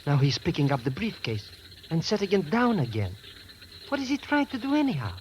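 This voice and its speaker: Nervous comic sidekick, high-pitched and animated, vintage radio drama with hissy sound. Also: male voice, a little fast. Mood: uneasy. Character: nervous, nosy male sidekick of a secret agent. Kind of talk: 1940s radio drama acting. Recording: noisy old radio recording.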